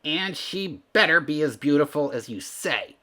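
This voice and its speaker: A man's voice: a little high, expressive, normal speed, sharp tone, pitched above the performer's own voice. A man voicing a spoiled, bossy teenage boy beast from a storybook, cocky and blunt. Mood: cocky, demanding. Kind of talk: storybook character voice.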